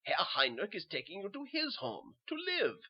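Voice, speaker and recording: A man's voice: high-pitched, wildly swooping pitch, normal speed, slightly rough, highs cut off, voice pushed up, exaggerated voice. A man playing an assured, kindly German doctor who explains things formally, with a German accent, in a horror story. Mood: reassuring.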